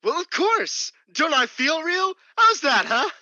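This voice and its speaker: A man acting an exuberant, boyish long-lost brother in a radio play, excitable and loud. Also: extremely high pitch, a little slow, dry recording, highs cut off, exaggerated voice. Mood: eager, excited. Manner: shouting, excitable.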